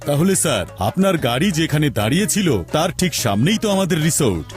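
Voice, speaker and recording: Cartoon hotel manager: pedantic, polite, swooping delivery, faint music. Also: male voice, on the high side, big pitch swings, fast. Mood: patient. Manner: formal, pedantic, repeats himself. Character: pedantic, courteous male resort manager in a cartoon. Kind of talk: cartoon dub acting.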